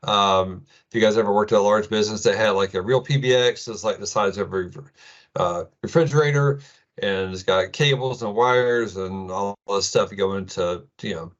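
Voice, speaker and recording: A middle-aged man with an American accent giving an informal tech presentation in a casual, halting way full of ums. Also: lowish voice, highs cut off. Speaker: presenter, IT administrator.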